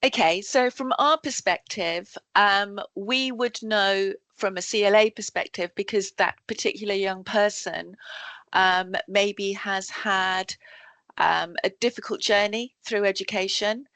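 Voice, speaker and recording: A woman giving a work presentation over a video call, talking at a middle pitch with plenty of ums and restarts. The sound is dry and close, with no room around her voice. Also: fairly bright tone, no echo. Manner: halting, many ums and self-corrections. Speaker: education support officer presenting to colleagues.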